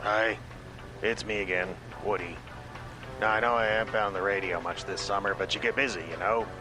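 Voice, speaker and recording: An actor playing a grumpy middle-aged bait shop owner in a comedy radio play ad, grumbling and cranky. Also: male voice, lowish voice, steady tone, a little fast, over loud music, natural voice, low-quality recording.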